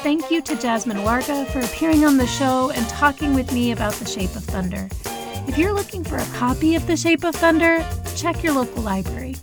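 High-pitched, creaky American woman podcast host. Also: expressive, brisk, music in the background. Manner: appreciative, effusive. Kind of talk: podcast interview hosting and sign-off.

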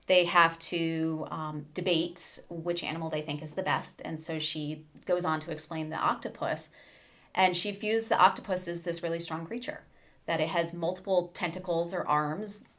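A woman speaking as an educator and guest in a book discussion, explaining things in a measured way. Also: on the low side, fairly bright tone.

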